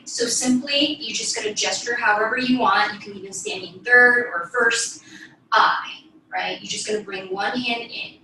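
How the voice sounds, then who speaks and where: Bright-voiced young woman teaching in echoey room. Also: medium pitch. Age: young adult. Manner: casual explaining with frequent check-in "right?". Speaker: ballet teacher and host. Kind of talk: instructional demonstration, explaining to camera. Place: small bedroom, indoors. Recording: echoey room, distant mic, faint noise.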